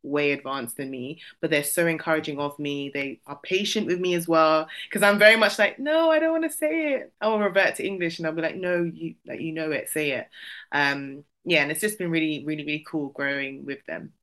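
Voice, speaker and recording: A woman's voice: deep voice, a little fast, a bit bright. A young woman from the UK chatting casually as a podcast guest, rambling with lots of fillers and restarts. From United Kingdom. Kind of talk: casual chat.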